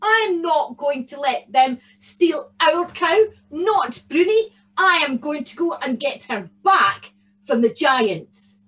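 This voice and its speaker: A woman with a Scottish accent voicing a stubborn, devoted young farm boy in a children's story, earnest and loud. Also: high-pitched, expressive, slow pace, pitched above the performer's own voice, low-quality recording. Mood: determined. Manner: earnest, calling out loudly.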